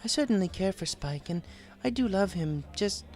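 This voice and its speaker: Man voicing a prim, high-pitched melodramatic lady pony. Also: animated, normal speed, a little mellow. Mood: wistful, hesitant. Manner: prim, genteel, theatrically wistful, whining. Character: refined, melodramatic adult female pony seamstress, lovelorn and whiny. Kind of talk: audiobook character voice.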